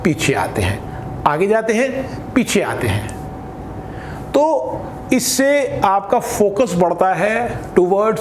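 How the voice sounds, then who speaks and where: An Indian man giving instructional talk as a voice trainer, speaking in an emphatic, deliberate way and mixing Hindi with some English. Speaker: voice trainer for radio jockeys. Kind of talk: instructional talk with spoken demonstrations. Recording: echoey room with faint rumbling noise.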